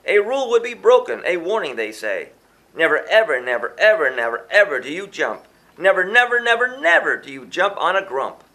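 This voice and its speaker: Male storyteller for rhyming kids' book: very lively intonation, bright and punchy tone, emphatic sing-song rhythm. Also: high-pitched, a little fast. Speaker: children's book author reading their own book. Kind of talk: rhyming children's story narration, read aloud.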